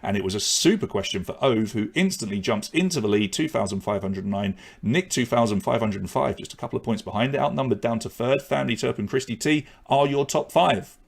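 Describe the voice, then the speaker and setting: A man's voice: medium pitch, brisk, gravelly, few pauses, breathy edge. Chatty man hosting a quiz. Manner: chatty, joking asides between reading questions and scores. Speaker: quiz host. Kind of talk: hosting a live online pub quiz.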